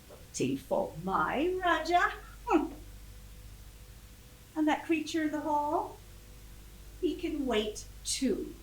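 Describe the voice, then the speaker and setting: A woman's voice: on the high side, sharp tone, full character voice. An actress playing a proud, spirited colonial Rani, telling her story imperiously. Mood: wry, confiding. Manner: imperious, deliberate, vividly dramatic storytelling. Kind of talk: theatrical monologue. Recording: echoey room, distant microphone.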